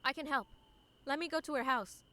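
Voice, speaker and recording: Woman acting an anxious, high-voiced young college woman. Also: a little fast, bright, forceful tone. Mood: insistent. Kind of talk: comic dub voice acting. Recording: long reverb, clear background noise.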